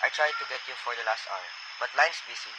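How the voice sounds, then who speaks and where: Telegram clerk, male actor: mid-range voice, courteous, impersonal recital, radio drama, clearly noisy sound. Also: medium pitch, expressive, fast talker, close to an everyday voice. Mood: businesslike. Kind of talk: amateur radio play acting.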